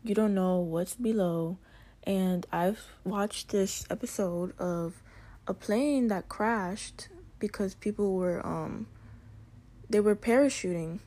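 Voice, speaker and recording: A woman's voice: medium pitch, takes it slowly, mellow. A young woman hosting a casual chat, describing her fears and a cartoon in a chatty, vivid way. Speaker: host. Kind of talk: casual talk.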